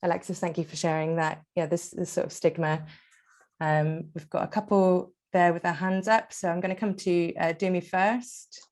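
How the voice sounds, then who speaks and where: A woman's voice: lowish voice, fairly even intonation, normal speed. A woman hosting an online webinar on a video call, inviting people to speak and stumbling through repeats and fillers. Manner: warm encouraging tone, many fillers and repeats. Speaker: webinar host. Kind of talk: facilitating an online discussion.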